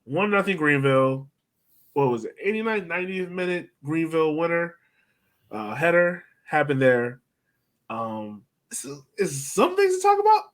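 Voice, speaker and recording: A man's voice: fairly high pitch, sing-song, moderate pace. A man hosting a casual sports podcast, chatting in a blunt, opinionated way. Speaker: podcast host.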